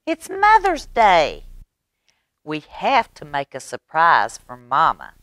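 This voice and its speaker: A woman's voice: low-pitched, wildly swooping pitch, takes it slowly, fairly bright tone, dry recording, studio quality, exaggerated voice. A woman voicing a bossy, eager little big sister from a picture book in an emphatic voice. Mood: excited, urging.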